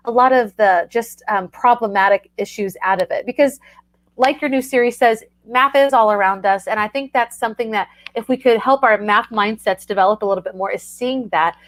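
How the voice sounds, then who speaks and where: A woman's voice: fairly high pitch, dry recording. A woman hosting a casual book chat over a video call, effusive and talking with many fillers.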